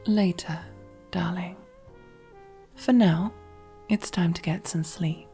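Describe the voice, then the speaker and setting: A woman playing a commanding, possessive and silkily affectionate female mafia boss in an ASMR roleplay, speaking intimately in a breathy, husky voice that often drops to a whisper. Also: fairly low pitch, steady tone, faint music behind. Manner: slow, controlled, softly commanding, intimate.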